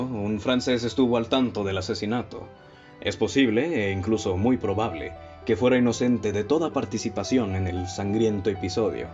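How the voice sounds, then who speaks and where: Radio drama detective, male actor: lowish Mexican voice, composed, analytical, formal delivery, dull lo-fi sound. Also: lightly acted. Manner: formal, measured, explanatory. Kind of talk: radio play acting. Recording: dull lo-fi audio with music bed.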